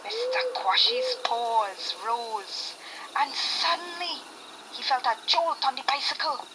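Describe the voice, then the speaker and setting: A woman storyteller with a high voice and a Trinidadian accent narrates slowly. Her scripted reading is suspenseful and dramatic, with clear noise behind it. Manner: suspenseful, deliberate phrasing. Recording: clearly noisy background.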